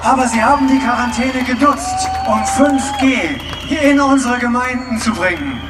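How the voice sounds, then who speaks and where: A man interpreting a speech phrase by phrase at an outdoor rally, loose and at times shouting. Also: extremely high pitch, lively intonation, normal speed. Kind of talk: live consecutive interpreting at a rally. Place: outdoor stage at a large street demonstration. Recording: distant echoey outdoor recording with crowd and music.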